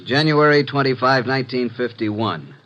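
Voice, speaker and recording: An actor playing a breezy, wisecracking young assistant to a detective in a 1950s radio drama. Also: male voice, medium pitch, moderate pace, fairly bright tone, a little hiss or hum, natural voice, low-quality recording.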